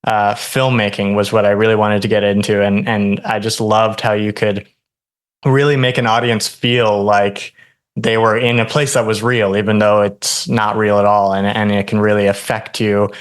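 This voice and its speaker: A young man from Hawaii, a podcast guest telling his story in a relaxed way, full of ums and likes. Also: lowish voice, normal speed, clean tone. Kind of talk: casual interview chat.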